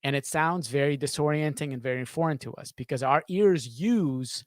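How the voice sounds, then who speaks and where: A man in his thirties, a sound designer, explaining things step by step in a halting, thoughtful way during an interview. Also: on the high side, no echo, clean studio sound. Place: small home studio with acoustic panels. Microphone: close studio microphone on boom arm, headphones.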